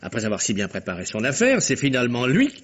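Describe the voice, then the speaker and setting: Bluff, puzzled, husky captain. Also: male voice, medium pitch, wildly swooping pitch, fast talker. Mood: baffled. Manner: hesitant, stammering, bluntly questioning. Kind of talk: 1950s French radio mystery acting. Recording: dull, muffled vintage radio recording.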